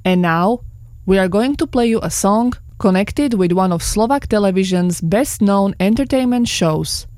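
A woman presenting an international radio program, reading a scripted script in a measured, even way in a studio. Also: mid-range voice, no echo. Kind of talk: scripted broadcast narration.

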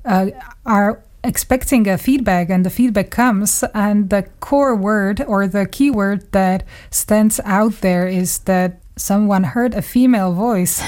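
A woman's voice: medium pitch, a little mellow. A woman radio journalist recalling a colleague in a thoughtful, conversational way, with small laughs between phrases, recorded in a radio studio. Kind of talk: conversational reminiscence.

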